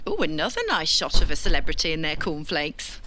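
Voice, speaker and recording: A woman acting a wry, teasing but supportive young friend in an audio drama, with a sardonic, expressive manner. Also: deep voice, a little fast, heavily acted, poor audio. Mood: encouraging.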